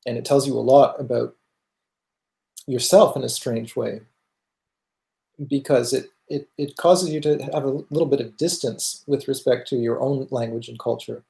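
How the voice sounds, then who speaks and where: A man with a Canadian accent answering viewer questions in casual livestream talk, thoughtful and halting as he goes. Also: mid-range voice, creaky, mellow. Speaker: music channel host answering viewer questions.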